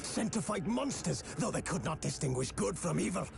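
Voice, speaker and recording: An actor playing a stern preacher in a game cinematic, declaiming solemnly about doom to a frightened crowd. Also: male voice, high voice, big pitch swings, normal speed, vocal fry, exaggerated voice. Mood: grave, accusing. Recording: loud orchestral score behind voice. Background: loud music.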